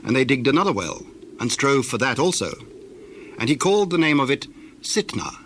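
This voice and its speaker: A man narrating scripture from a script in a measured, formal way. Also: mid-range voice, very animated, a bit bright. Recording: faintly noisy old recording.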